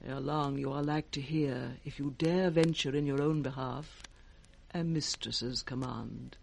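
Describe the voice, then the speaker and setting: A woman's voice: a bass voice, fairly even intonation, gravelly, a little mellow, a little hiss or hum, exaggerated voice. An actress playing a scornful, scheming, commanding noblewoman in a British accent, in a theatrical 1960s radio Shakespeare style. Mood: seductive, hushed. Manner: theatrical, deliberate, swooping delivery.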